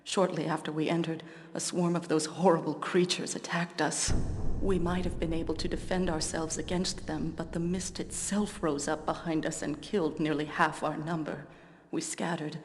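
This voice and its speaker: A slightly low female voice , acted by a woman, plays a weary, embattled adventurer in a fantasy game. She speaks earnestly and dramatically, with long cavernous reverb and a little background noise. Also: level tone, moderate pace, close to an everyday voice. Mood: urgent, weary. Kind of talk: scripted video game cutscene acting.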